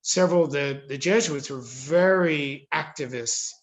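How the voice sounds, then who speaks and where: A man in his fifties with an American accent, giving reflective interview answers in a thoughtful, halting way and repeating words for emphasis. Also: on the high side. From suburban New Jersey, United States. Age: 50s. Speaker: interviewee, Jesuit priest.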